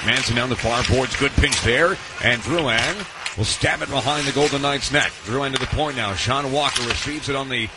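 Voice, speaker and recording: North american guy doing live hockey radio play by play, mid pitch voice, clipped calls, really loud arena noise behind him. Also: moderate pace. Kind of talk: live sports play-by-play commentary. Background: loud arena ambience.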